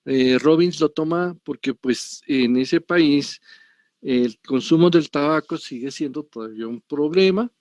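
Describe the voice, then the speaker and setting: A man's voice: fairly high pitch, a little slow, darkish tone, dry recording. A middle-aged man with a Colombian accent giving an online pathology lecture, digressive and often checking with tag questions. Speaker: university lecturer.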